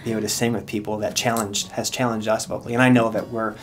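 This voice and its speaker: A man, a country singer-songwriter, talking earnestly in a casual interview with lots of filler pauses. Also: lowish voice, flat delivery. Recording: music playing underneath.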